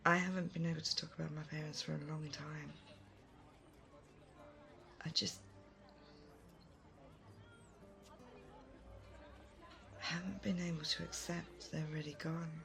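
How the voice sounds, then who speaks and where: A woman playing a thoughtful, kind, self-doubting leader in an audio roleplay, soft-spoken, hesitant and gently earnest. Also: low voice, fairly even intonation, normal speed, gravelly, long pauses, soft background music. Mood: wistful, sincere. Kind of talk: immersive audio roleplay addressed to the listener.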